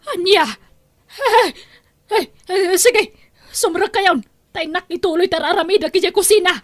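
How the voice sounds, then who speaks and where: A scheming mother in an Ilocano radio drama. Her voice is high and expressive, full of exclamations, and recorded dry and close. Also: measured pace, dry recording. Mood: shocked, dismayed. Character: scheming mother of a grown son, cheerful then scandalized.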